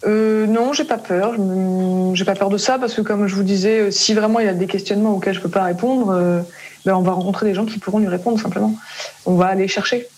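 A woman's voice: mid-range voice, steady tone, fairly quick, darkish tone. A French woman being interviewed as a parent, explaining casually in colloquial speech that often trails off into tag words.

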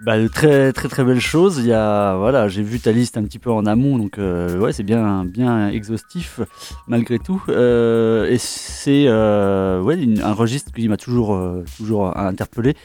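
Mellow, hesitant radio guest. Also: male voice, on the low side, measured pace. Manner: hesitant, lots of fillers and repetitions. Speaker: radio guest, fellow host. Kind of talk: casual radio chat. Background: faint instrumental music.

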